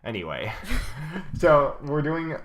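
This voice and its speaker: A man's voice: a little high, big pitch swings, slow pace, lo-fi sound. A young man co-hosting a podcast in casual chat, rambling with lots of ums and uhs and frequent laughter. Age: young adult. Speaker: podcast co-host.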